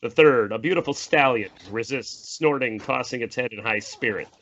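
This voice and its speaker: A man's voice: medium pitch, moderate pace, fairly bright tone. A man reading screenplay stage directions as narrator, dramatic and emphatic. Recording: dull audio, faint noise and clicks.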